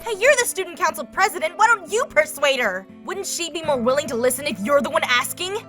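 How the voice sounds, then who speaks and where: Woman acting a brash, bright high-voiced schoolkid. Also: animated, brisk, soft background music. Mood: sceptical. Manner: blunt, cocky. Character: brash, irritable teenage schoolboy in a girl's body. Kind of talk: anime dub acting.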